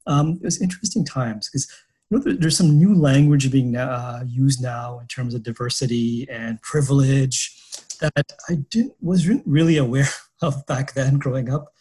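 A middle-aged man who grew up in Canada, answering interview questions thoughtfully with frequent pauses and restarts. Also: medium pitch, breathy edge. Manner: hesitant, many fillers and restarts, laughs softly. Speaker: interview guest.

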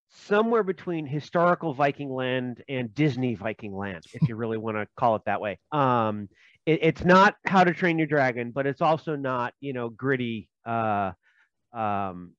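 A man from Minnesota, a game designer guest, explaining his setting in a halting, joking way from his home. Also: medium pitch, dry recording, highs cut off. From Minnesota, USA. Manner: halting, many fillers and restarts, joking. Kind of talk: casual interview chat.

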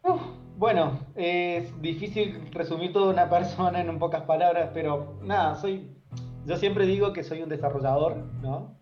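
A man's voice: on the high side, normal speed. Argentine man in a dull, echoey recording. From Córdoba, Argentina. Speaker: guest, software developer. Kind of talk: casual interview, telling anecdotes. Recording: dull, echoey sound with music behind. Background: guitar music.

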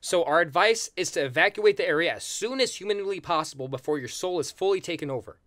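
An actor playing a polite, earnest paranormal hotline agent with a deferential customer-service manner in an audio comedy sketch. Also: male voice, high-pitched, animated, fast talker, a bit bright. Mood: earnest, apologetic.

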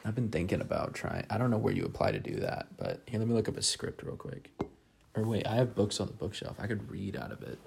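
A man's voice: on the low side, wildly swooping pitch, fast, vocal fry, darkish tone, a little hiss or hum. A man chatting casually and hesitantly in a self-deprecating way, sometimes reading aloud. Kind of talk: casual rambling chat, with some reading aloud from a book.

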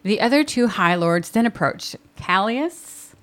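A woman in her thirties with a deeper voice, co-hosting a podcast and recapping book chapters in casual, giggly chat that often trails off. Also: animated, unhurried, a bit bright. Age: 30s. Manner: giggly, chatty, often trails off mid-sentence. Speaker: podcast co-host.

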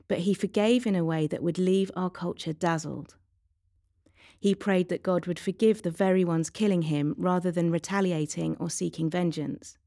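Mellow low-voiced woman reading a devotional. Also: no echo, clean studio sound. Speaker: devotional reader. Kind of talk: scripted devotional narration. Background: faint music.

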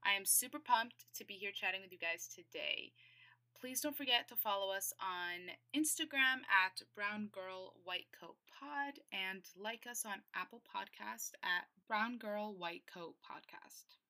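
A woman's voice: medium pitch, unhurried, bright, forceful tone. A young woman hosting a podcast, upbeat and enthusiastic as she introduces her guest. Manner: enthusiastic, bubbly. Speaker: podcast host.

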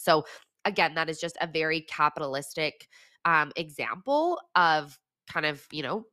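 A young woman hosting a podcast, chatting frankly with fillers, her voice raspy and congested from a cold. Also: on the low side, pushed and bright. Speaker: podcast host. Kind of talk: casual solo podcast talk; scripted intro over music.

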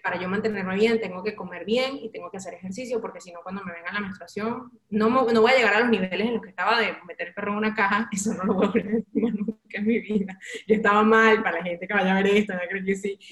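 A woman with a Venezuelan accent speaks as a guest sharing her own story. Her delivery is candid, expressive and confiding, full of colloquial turns. Her pitch sits in the middle range for a woman, and the room adds a clear echo. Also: quick pace, hardly any pauses, crowd noise. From Valencia, Venezuela. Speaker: interview guest, radio and event host. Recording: roomy, echoing sound.

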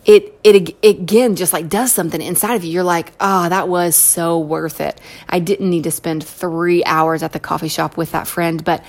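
A woman's voice: fairly low pitch, fairly even intonation, moderate pace, few pauses, a little mellow. Encouraging American woman speaker. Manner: conversational, encouraging, emphatic repetition. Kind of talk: inspirational talk to an audience.

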